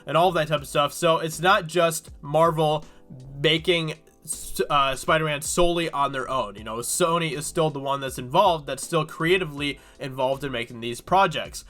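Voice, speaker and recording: A man's voice: a little high, normal speed, a bit bright, soft background music. A man with a cold and a congested voice, talking casually and rambling with many fillers as he reads through and comments on a news article. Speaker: YouTube host.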